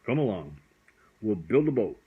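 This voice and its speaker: A man voicing a kindly, reassuring magic dragon in a bedtime story, gentle and coaxing. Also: fairly low pitch, big pitch swings, moderate pace, husky, narrow, tinny audio, heavily acted. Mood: urging.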